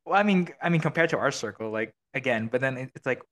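A man's voice: mid-range voice, animated, fast, fairly bright tone. A man hosting a casual podcast, rambling with many fillers and restarts. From Filipino American, living abroad. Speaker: podcast host.

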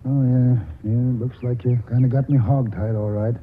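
An actor playing a stubborn, folksy old grandfather in a 1940s radio drama, with a husky voice and halting rural speech. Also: mid-range voice, fairly even intonation, slight background noise, lightly acted. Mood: resigned. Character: stubborn, folksy old grandfather, around seventy, wily and tender. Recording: 1940s radio broadcast, muffled, reverberant hall.

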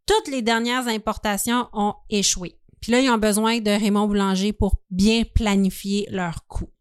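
A woman's voice: medium pitch, steady tone, normal speed, smooth, no echo, clean studio sound. A woman with a Quebec French accent co-hosting a podcast, telling a story from notes in an informal, conversational way. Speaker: podcast co-host.

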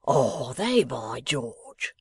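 A man's voice: mid-range voice, sing-song, very slow, dry recording, studio quality, heavily acted. A man voicing a coarse, sly lock-keeper from a novel, growling with an insolent manner. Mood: sly, deliberate. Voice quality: growling, gruff. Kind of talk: dramatised novel reading.

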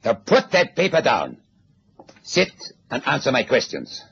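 A gravelly, domineering interrogator. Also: male voice, medium pitch, huge pitch swings, measured pace, a bit bright, exaggerated voice. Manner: authoritative, mockingly polite. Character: smooth, domineering male interrogator. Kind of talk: 1960s radio drama acting. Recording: dull old radio broadcast.

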